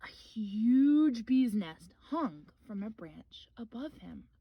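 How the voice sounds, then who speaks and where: Female children's story reader: high voice, animated intonation, simple encouraging delivery aimed at little listeners. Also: takes it slowly, roomy sound, far from the mic, muffled recording. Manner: simple questions and encouragement addressed to small children. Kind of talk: expressive read-aloud for young children.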